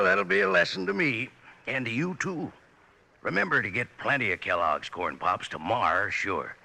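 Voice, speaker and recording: Hearty cowboy pitchman: animated, very bright, folksy and chatty, American Western accent, vintage radio advert. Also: gravelly, full character voice. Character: folksy, chummy male cowboy pal pitchman. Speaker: commercial pitchman. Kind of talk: 1950s radio cereal commercial.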